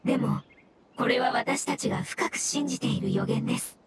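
A woman's voice: sits high, moderate pace, very noisy, close to an everyday voice. A woman voicing a polite, sorrowful mushroom spirit in an anime game, formal and earnest. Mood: grateful, devout. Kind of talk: anime game dialogue acting.

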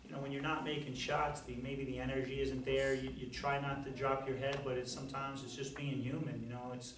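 Halting middle-aged coach in a roomy hall. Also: male voice, medium pitch, little pitch movement. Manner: halting, many fillers and repeated words. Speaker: head basketball coach. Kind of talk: post-game press conference answers. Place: press conference room. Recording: roomy, distant, faint noise.

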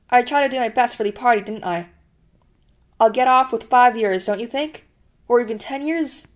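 A woman's voice: fairly high pitch, natural voice. A woman voicing a frightened, servile middle-aged man who pleads anxiously and almost blubbers. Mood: pleading.

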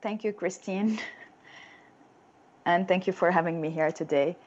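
A woman's voice: fairly low pitch, measured pace, a little mellow, muffled recording. A woman in her thirties from Lebanon, with a Lebanese accent, telling her own story as an interview guest. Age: 30s.

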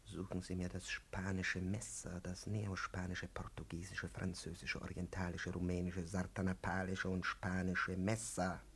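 An actor playing a domineering, irascible, pedantic professor in a 1950s radio play, theatrical and menacing, with a creaky, raspy voice. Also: male voice, sits low, monotone, normal speed, hardly any pauses, fairly bright tone, full character voice. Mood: coaxing, sinister.

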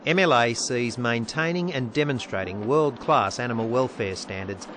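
A man reading scripted documentary narration in an even, measured way. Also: medium pitch, heavy background noise. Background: music.

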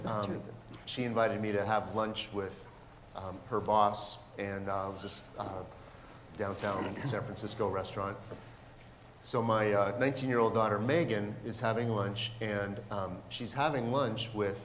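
Halting older man in an echoey hall. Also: on the low side. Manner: halting, pausing mid-phrase. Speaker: panel moderator. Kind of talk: informal anecdote and questions to a panel. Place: stage of a lecture hall, indoors. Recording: echoey hall with background noise.

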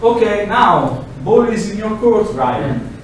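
A middle-aged man with a heavy Italian accent, speaking a little higher than most men, lectures with animated energy. He is caught by a distant camera, so his voice rings around a big, reverberant room with a faint hum beneath it. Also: slow pace. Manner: animated, gesturing, acts out examples in quoted voices. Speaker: lecturer presenting a project. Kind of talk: lively technical presentation. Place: large lecture room, indoors. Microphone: far camera, no mic. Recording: echoey room from far off.

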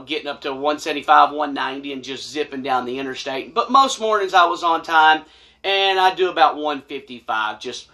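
A middle-aged man tells a personal story casually to camera in an animated, folksy, colloquial way. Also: fairly high pitch. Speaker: storyteller, vlog host. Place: small wood-panelled room indoors.

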